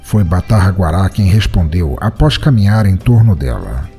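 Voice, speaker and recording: Mellow, low Brazilian male storyteller. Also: sing-song, normal speed, breathy edge, soft background music. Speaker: narrator of a fiction podcast. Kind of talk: scripted dramatic storytelling narration.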